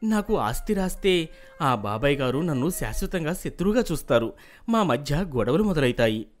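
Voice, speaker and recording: A man's voice: a little high, big pitch swings, normal speed, faint music behind. A man voicing a humble, good-hearted young farmhand in a folk tale, earnest and respectful. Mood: earnest, gentle. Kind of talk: acted folk tale dialogue.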